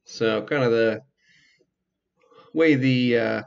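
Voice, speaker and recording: A man's voice: mid-range voice, slow, creaky. A man giving casual, hesitant commentary as he plays a video game, thinking aloud. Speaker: gameplay video host. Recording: slightly dull lo-fi mic, clicking.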